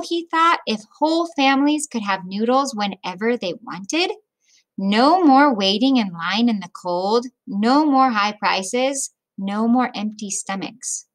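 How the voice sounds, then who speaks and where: Middle aged lady teacher reading a kids book out loud, kinda high voice, really slow and careful. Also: rough recording. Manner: deliberate phrasing with explanatory asides to young listeners. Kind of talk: expressive children's read-aloud.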